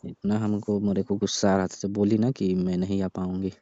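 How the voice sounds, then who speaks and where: A man's voice: sits low, little pitch movement, fairly quick, warm tone, dry recording, lightly acted. A man playing a sulky, distrustful young repairman who grumbles and complains in a staged comic phone call. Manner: complaining, grudging. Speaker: heater repairman. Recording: dull, dry lo-fi phone recording.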